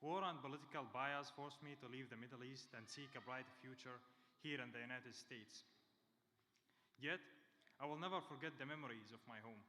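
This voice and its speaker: A man's voice: medium pitch, normal speed, bright, forceful tone, slight background noise. Young man giving a graduation speech. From Syria. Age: 20s. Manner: earnest, reading from a script. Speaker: graduation speaker. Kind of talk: prepared speech. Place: large hall. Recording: reverberant hall with faint ambience.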